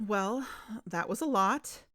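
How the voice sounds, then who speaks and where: An actress playing a worried, guarded amateur investigator, speaking hesitantly in a naturalistic audio drama. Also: female voice, a little high, a bit bright, heavily acted.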